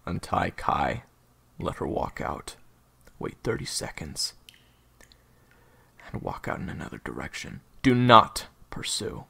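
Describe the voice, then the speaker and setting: A man playing a cold, defiant assassin gang leader in an audio roleplay drama, with a husky, gravelly voice. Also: low voice, big pitch swings, measured pace, pauses often, sharp tone, exaggerated voice. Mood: cold, commanding.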